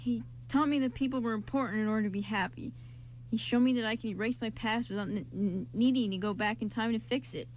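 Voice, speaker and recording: A woman acts out a fiercely loyal young man in an amateur radio play. The voice is fairly high, blunt and insistent, over a faint noisy hum. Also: quick pace, a little mellow, natural voice. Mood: heartfelt, insistent. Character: loyal, blunt young man defending his best friend.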